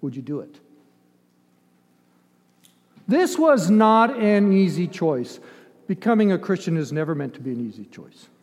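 A man's voice: sits high, sing-song, a little slow, pauses often, darkish tone. An older man who grew up in Ethiopia delivers a sermon in an emphatic yet conversational manner, with dramatized asides and rhetorical questions. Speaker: preacher. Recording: long hall reverb.

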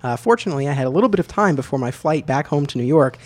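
A man's voice: on the high side, lively intonation, rapid-fire. A man in his thirties hosting a podcast, interviewing and narrating in an easygoing, friendly way with fillers. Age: 30s. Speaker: podcast host.

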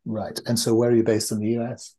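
A man's voice: medium pitch, monotone, fast, dark tone. A man hosting a podcast, reading an intro and then interviewing a guest with frequent ums and restarts. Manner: hesitant, many ums and restarts. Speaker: podcast host. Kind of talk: conversational interview.

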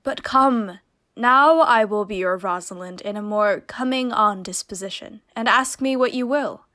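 A woman's voice: mid-range voice, measured pace, pushed and bright. A woman playing a witty, commanding young heroine in a Shakespeare radio comedy, teasing and mock-stern. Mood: playful, teasing. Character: witty, commanding young woman, playfully testing her suitor.

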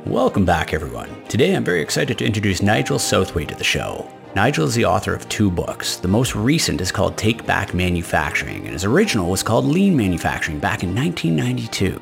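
A man's voice: fairly low pitch, huge pitch swings, fast talker, vocal fry, few pauses, music in the background. A middle-aged man hosting a podcast interview, introducing his guest with upbeat enthusiasm. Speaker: podcast host.

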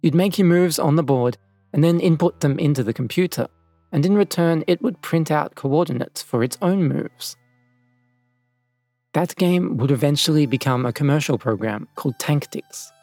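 A man's voice: a little high, moderate pace, dark tone, dry recording, soft background music. A man hosting and narrating a scripted documentary story. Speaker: podcast host and narrator.

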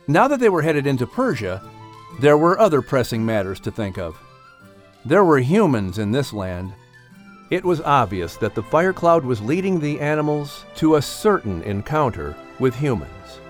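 A man reading scripted storybook narration expressively, as an audiobook narrator and announcer. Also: medium pitch.

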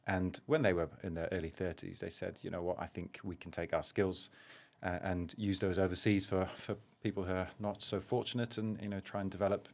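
A middle-aged man with a slight London accent, a surgeon guest telling stories of his childhood in a relaxed, self-deprecating way. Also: deep voice, fairly quick, vocal fry. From Zaire and England. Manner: anecdotal, self-deprecating, with frequent ums. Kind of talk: relaxed conversational storytelling.

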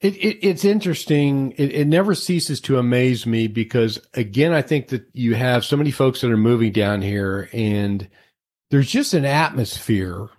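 A man's voice: medium pitch, normal speed, a little mellow. An American man hosting a casual interview in a chatty, folksy way, rambling into asides. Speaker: interview host.

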